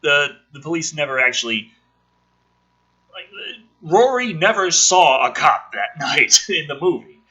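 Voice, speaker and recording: A man with a higher-pitched, very sharp voice that sounds rough at the edges. He hosts with theatrical script reading and loose, sweary asides, delivered with a sardonic bite. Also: very animated, unhurried. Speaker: video host reading a film script aloud. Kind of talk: dramatic script reading with casual commentary.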